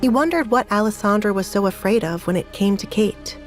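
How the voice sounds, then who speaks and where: A woman's voice: mid-range voice, animated, fairly quick. A woman reading scripted narration for an audio drama, with music playing behind her voice. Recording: music under the voice.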